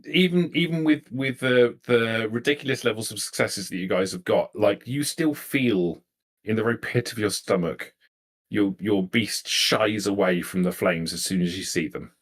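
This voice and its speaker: Guy game mastering, deep-ish voice, stops and restarts while making up the scene. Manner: halting, repeats phrases as he thinks. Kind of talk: improvised tabletop game narration.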